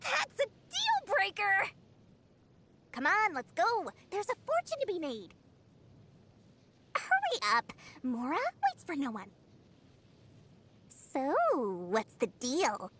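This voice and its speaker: A woman's voice: very high voice, wildly swooping pitch, takes it slowly, pauses often, fairly bright tone, full character voice. A woman voicing a small, greedy, boastful girl merchant genie in an anime video game, with theatrical, wheedling salesmanship. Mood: brash, bossy.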